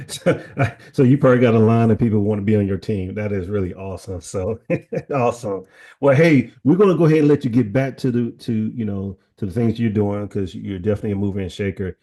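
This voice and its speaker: A man's voice: lowish voice, fairly quick, warm tone. A man hosting a casual online interview, encouraging and chatty, with lots of "you know" fillers. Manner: encouraging, rambling, filler-heavy. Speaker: host.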